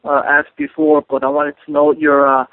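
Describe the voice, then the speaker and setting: A man's voice: on the high side, little pitch movement, moderate pace, no echo. A male reporter hesitantly asking questions over the telephone on a press conference call. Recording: dry narrow-band phone line.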